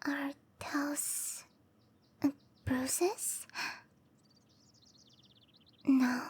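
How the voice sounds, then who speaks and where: A woman voicing a gentle, caring cherry blossom dryad girl in an ASMR roleplay, musing softly to herself in a breathy, often whispered voice. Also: sits high. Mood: torn, concerned. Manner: musing to herself, slow and tender.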